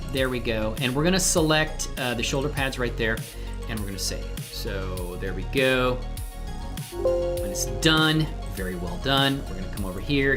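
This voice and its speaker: A woman hosting a live software tutorial, chatting casually and thinking aloud as she demonstrates. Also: very deep voice. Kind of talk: casual tutorial livestream chat. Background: music playing behind the voice.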